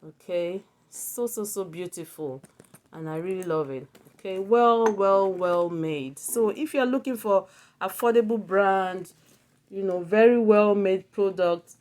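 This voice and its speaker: A woman's voice: medium pitch, measured pace, a little mellow. A woman with a Nigerian accent gives a casual product review as a video blogger, often repeating words for emphasis.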